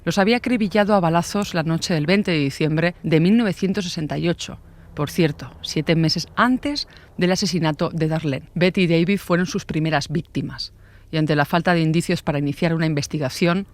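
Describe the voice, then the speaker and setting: A man's voice: fairly high pitch, brisk. A man from narrating scripted true crime as a dramatic storyteller with rhetorical asides.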